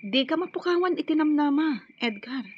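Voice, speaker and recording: Earnest, highish-voiced cautious woman. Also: expressive, normal speed. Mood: consoling. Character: cautious, consoling female partner in revenge. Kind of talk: radio drama acting in Ilocano. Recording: dull, noisy lo-fi radio sound.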